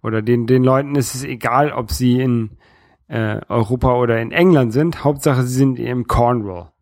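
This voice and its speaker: A man from northern Germany with a light North German accent, talking casually about opinions, haltingly and often repeating words as he searches for the next. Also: medium pitch, a little mellow, no echo, studio quality. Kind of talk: casual political discussion.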